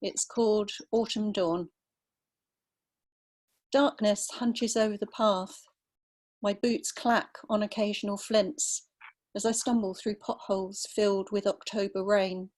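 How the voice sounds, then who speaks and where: Slow, measured older southern English woman. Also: mid-range voice, fairly even intonation, a little mellow. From London, England. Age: 50s or older. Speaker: writer reading own story. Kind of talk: measured literary reading. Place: online video call.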